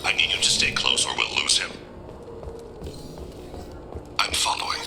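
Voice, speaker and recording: An actor playing a calm, solemn alien assassin for a video game, speaking in a hushed, terse, measured way with a gravelly, breathy near-whisper. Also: male voice, soft background music. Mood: focused, hushed.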